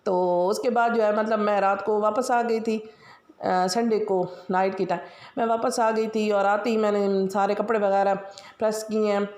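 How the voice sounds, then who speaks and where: A woman's voice: medium pitch, little pitch movement, normal speed, darkish tone, roomy sound. A woman from Pakistan with a Pakistani Punjabi accent, chatting casually about her household routine as a vlogger, her throat sore and scratchy. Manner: chatty, rambling, many fillers. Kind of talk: casual daily-routine voice-over.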